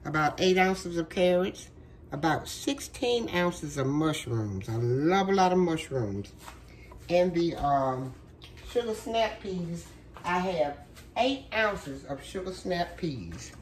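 Woman, slow and unhurried, lowish voice, worn from a cold, folksy kitchen chat, faint background noise. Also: expressive. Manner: chatty, folksy, with many fillers. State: losing her voice from a cold. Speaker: cooking show host. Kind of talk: casual cooking demonstration. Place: home kitchen.

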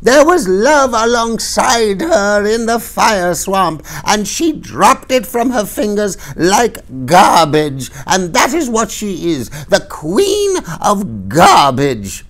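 A man voicing an ancient, bent old woman who hurls a shrill, shouting tirade of accusations. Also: sing-song, slow pace, hardly any pauses, full character voice. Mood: furious.

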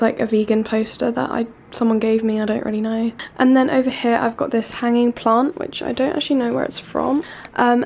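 Nasal, congested Englishwoman, twenties, gravelly and fairly flat, casual vlog chatter on a camera mic. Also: fairly high pitch, a little hiss or hum. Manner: chatty, filler-heavy, loose and unhurried. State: nasal from a cold. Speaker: vlogger. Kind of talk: casual room tour chat. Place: small student bedroom, indoors. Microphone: handheld camera mic.

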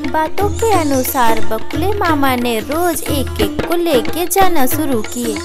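A woman narrating a children's cartoon story as a calm storyteller. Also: high-pitched, takes it slowly, over loud music. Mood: storytelling.

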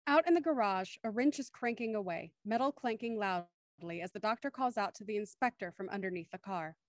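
A woman's voice: mid-range voice, lively intonation, a little fast, no room sound, studio quality. A woman reading scripted scene narration for an audio drama. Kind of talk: scripted narration of stage directions.

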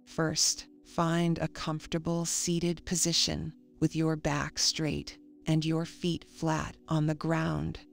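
A woman reading a scripted guided meditation in a calm, soothing, measured manner. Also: low-pitched, vocal fry. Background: faint music.

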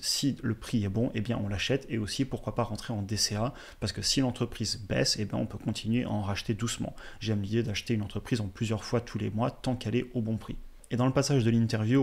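A man in his thirties hosting a finance channel, explaining investing to viewers in a steady, direct way, recorded on a close studio mic in a small room. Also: lowish voice, breathy edge. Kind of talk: educational commentary over slides. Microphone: studio mic on a boom in front.

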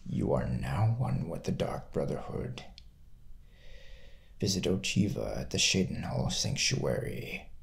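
A young man playing a sinister, courteous assassin cult leader in a hushed, half-whispered ASMR roleplay. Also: extremely low pitch, very animated, measured pace, creaky, full character voice. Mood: grave, commanding. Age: young adult.

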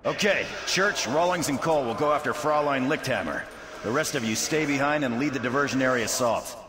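An actor playing a decisive, terse special forces squad leader giving commands in a video game cutscene. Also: male voice, mid-range voice, brisk, close to an everyday voice. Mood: commanding. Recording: echoey, with clear background noise.

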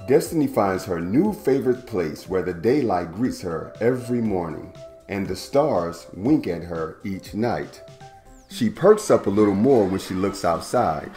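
Male storytime host, 30s, deep voice, playful kids' read-aloud in a home room. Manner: animated, playful, stretches words for young listeners. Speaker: storytime host reading a picture book.

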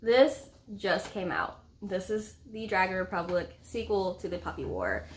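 A woman's voice: medium pitch, sing-song, a little slow. A woman in her thirties talking casually and enthusiastically as a book vlog co-host, with playful emphasis, in a room at home. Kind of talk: casual book review chat. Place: indoor room at home with bookshelves.

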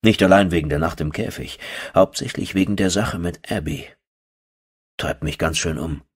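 A man's voice: low voice, normal speed, breathy edge. A man narrating a first-person horror audiobook as a storyteller.

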